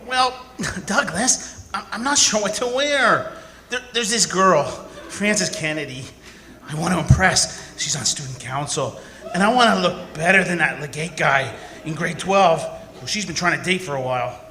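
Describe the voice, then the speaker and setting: A man playing an earnest, hesitant high school boy who stumbles over his words in an old-time radio ad. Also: animated, moderate pace, sharp tone, slight background noise. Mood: shy, hopeful.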